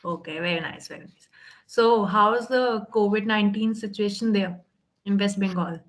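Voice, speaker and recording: A woman's voice: medium pitch, moderate pace. A woman from India with an Indian English accent, tutoring English on a video call and encouraging her student with repeated "okay" and "that's great". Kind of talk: tutoring session.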